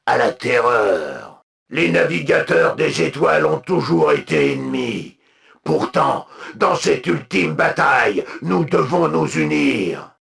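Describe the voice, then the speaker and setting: A gravelly, imperious alien commander. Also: male voice, on the high side, big pitch swings, takes it slowly, a bit bright, heavily acted. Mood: solemn. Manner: solemn, imperious, clipped military delivery. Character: proud, zealous alien military commander, adult male. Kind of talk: video game unit voice lines, declamatory.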